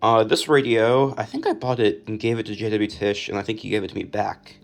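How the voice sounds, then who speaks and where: A man's voice: lowish voice, brisk, creaky, soft background music. A young American man giving a casual, informal, matter-of-fact walk-through of a personal collection. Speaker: collector presenting own collection. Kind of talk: casual collection showcase narration.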